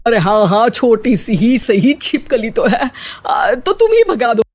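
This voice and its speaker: A woman voicing a sharp-tongued, bossy middle-aged mother-in-law in a cartoon, with a scolding, sarcastic manner. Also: a little high, sing-song, a little slow, husky, heavily acted.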